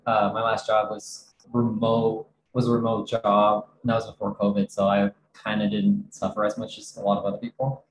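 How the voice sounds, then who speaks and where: A young man from Texas on a video call, relaxed and joking as he introduces himself. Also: lowish voice, slight background noise. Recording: roomy video call audio.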